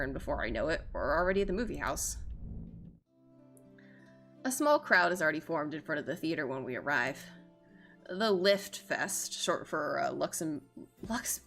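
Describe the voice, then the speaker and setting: A woman's voice: deep voice, creaky, a bit bright. A woman streamer reading a visual novel aloud with theatrical, casually sweary live commentary. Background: game music.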